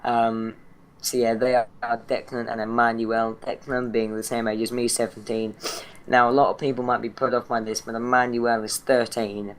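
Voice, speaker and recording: A teenage British boy, hoarse and croaky from a sore throat, introducing his gaming channel in a rambling, chatty way full of fillers and restarts. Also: medium pitch, flat delivery, moderate pace. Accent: British English. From Britain. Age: teens. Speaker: channel host.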